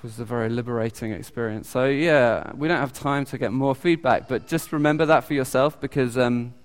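A man with a British accent giving an informal sermon. He talks haltingly, with many ums and restarts. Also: mid-range voice, clear, resonant tone. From United Kingdom. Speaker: lay preacher. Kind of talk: informal sermon with audience questions. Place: church hall. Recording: long echoey church reverb.

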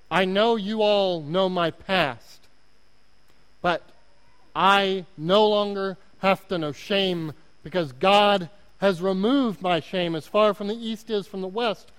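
A man preaching a sermon in a large sanctuary, his voice high and light. He tells the story slowly and deliberately, with pauses between phrases. A long reverb from the hall trails after his words. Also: a bit bright, clean tone.

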